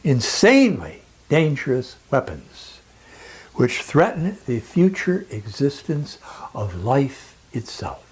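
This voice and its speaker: A speaker delivering a dramatic scripted monologue in a grave, emphatic, oratorical manner with deliberate pauses. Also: mid-range voice, huge pitch swings, breathy edge.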